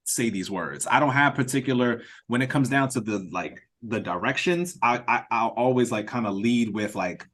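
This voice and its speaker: Guy on a video call running a script read-through, casual, kinda halting, thinks out loud. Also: medium pitch, lively intonation. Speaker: writer and director leading a script read-through. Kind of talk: casual creative feedback discussion.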